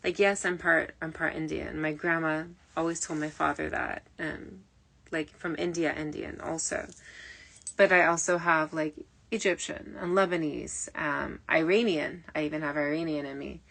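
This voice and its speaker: A woman's voice: low-pitched, steady tone, moderate pace, creaky, fairly bright tone. A woman talking as a spiritual medium in a rambling, digressive late-night stream, full of fillers and restarts, with faint music behind her. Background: faint early nineties music.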